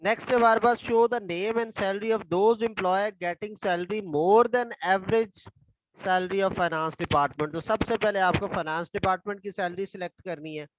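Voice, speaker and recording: A hoarse man, a tutor slowly reading exam questions aloud and explaining them step by step. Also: high-pitched, highs cut off, noisy background. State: hoarse from a throat infection.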